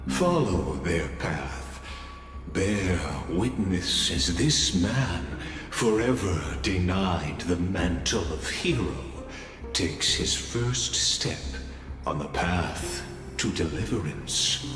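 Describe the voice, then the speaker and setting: A man playing a solemn, ominous narrator of doom in a video game, speaking in a grave, theatrical and deliberate way. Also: deep voice, lively intonation. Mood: grave, bitter. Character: solemn, ominous male narrator foretelling a doomed hero. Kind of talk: dramatic scripted game narration. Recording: echoey long reverb under loud music.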